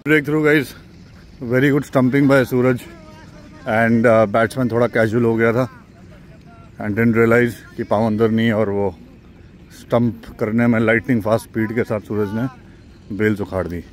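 A man's voice: medium pitch, fairly even intonation, unhurried, pauses often. A man casually narrating a match-day vlog at an outdoor cricket ground, chatty and explaining the plays as they happen. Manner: chatty, mixes English into Hindi, explains plays. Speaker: vlogger and amateur cricket player.

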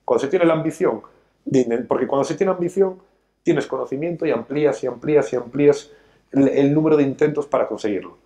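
A man's voice: medium pitch, fast talker, warm tone. Guy in his 30s, talk show studio, preaching his point hard, gesturing a lot. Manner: emphatic, lecturing, gestures a lot while talking. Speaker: financial educator, show host. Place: studio set, seated on a sofa.

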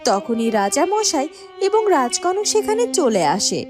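Very high-pitched, animated woman narrator. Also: unhurried. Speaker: story narrator. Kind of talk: scripted fairy-tale narration. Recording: music bed under narration.

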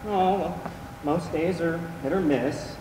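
A man's voice: on the high side, slow pace. A young man on stage playing a flamboyant, witty, ailing drag queen with campy, projected delivery. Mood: wry, weary. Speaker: stage actor in a school play. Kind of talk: theatrical stage acting. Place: large theatre stage, indoors. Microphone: far camera. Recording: echoey theatre, distant microphone, clear noise.

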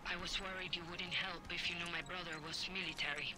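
An actress playing a soft-spoken young rebel woman in a video game cutscene, confessing guilt about her military brother. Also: on the low side, fairly even intonation, brisk, background noise, natural voice. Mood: earnest.

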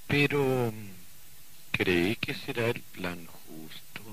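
An actor playing a cryptic, ominous otherworldly caller in a radio drama, measured and enigmatic. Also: male voice, medium pitch, lively intonation, a bit bright, rough recording. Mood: cryptic, calm.

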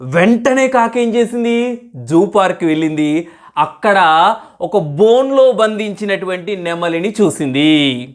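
A woman's voice: on the low side, expressive, a little slow. Woman storyteller teaching through questions. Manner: teacherly, asks questions and repeats answers. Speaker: storyteller and channel host. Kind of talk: interactive moral storytelling to a child.

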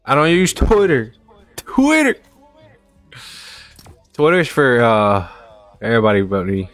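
A man's voice: medium pitch, huge pitch swings, takes it slowly, fairly bright tone, faint music behind. A man in his twenties chatting casually with friends while streaming a game, laid-back and slangy, swearing freely. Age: 20s. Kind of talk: casual gaming chat with friends. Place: indoor room, seated at a desk.

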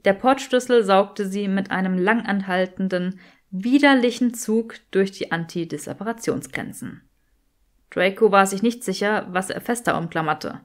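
A woman reading fiction as an audiobook narrator, in expressive scripted narration. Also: mid-range voice, normal speed.

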